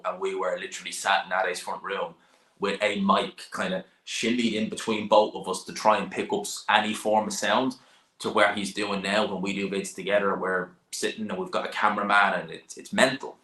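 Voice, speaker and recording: A man's voice: low-pitched, flat delivery, a little fast, bright, forceful tone, echoey room, low-quality recording. A young man from Dublin with an Irish accent, casually answering viewer questions about boxing on a live stream, rambling and repeating himself. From Ireland. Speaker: boxing channel host.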